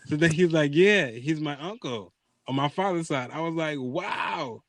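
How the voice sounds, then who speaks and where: A man's voice: a little high, slow, dry recording. Casual male storyteller, dry close sound. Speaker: podcast host telling a personal story.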